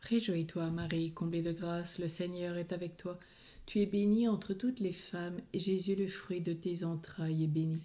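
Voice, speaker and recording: Woman leading prayers, lowish mellow voice, slow and steady. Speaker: prayer leader. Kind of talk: leading a recited rosary.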